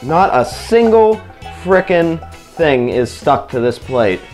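A man's voice: a little high, sing-song, takes it slowly, darkish tone, soft background music. A young man chatting casually to his viewers while cooking in his home kitchen, loose and slangy, on a camera mic by the stove. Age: young adult. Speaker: vlogger.

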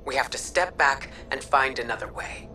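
A worried, firm mentor from a video game drama. Her very low voice is hushed and measured, set a little distant under loud music. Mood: worried, reproachful. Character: grave, caring female mentor, worried and firm.

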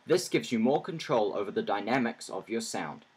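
A man's voice: lowish voice, animated, normal speed. Man narrating a tutorial over background noise. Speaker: tutorial presenter. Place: indoor voice-over for screen recording.

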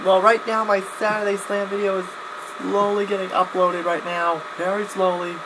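A young man talking casually to camera in a halting, rambling vlog style, often backtracking and repeating himself. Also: high voice, flat delivery. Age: young adult. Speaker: video blogger. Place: small cluttered home room, indoors. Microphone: webcam at desk distance. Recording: clear background noise, webcam-grade audio.

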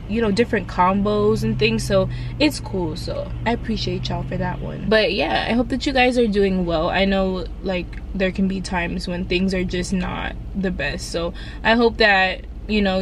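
A young woman chatting warmly to her viewers in a casual vlog, upbeat and affectionate. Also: mid-range voice, noisy background. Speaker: beauty and lifestyle vlogger. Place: bedroom, then car, then store.

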